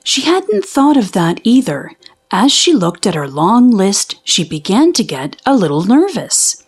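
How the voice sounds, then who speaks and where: A woman reading a children's picture book aloud in an expressive storytelling style. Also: medium pitch, a little slow, breathy edge.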